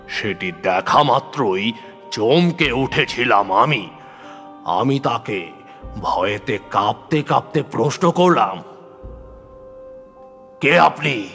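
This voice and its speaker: A man tells a horror tale with slow, deliberate pacing and a sharp, forceful voice. His delivery is theatrical and suspenseful, swelling with emphasis. Long reverb trails his words, with music playing underneath. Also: medium pitch. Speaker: horror story narrator. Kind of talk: dramatic scripted horror narration, first-person storytelling. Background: background music.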